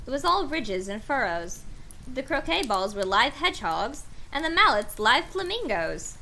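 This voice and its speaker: A woman's voice: high voice, big pitch swings, normal speed, sharp tone, a little hiss or hum. A woman reading a storybook aloud on a livestream, dropping in casual, slangy, self-deprecating asides. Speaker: host reading a storybook to a live audience.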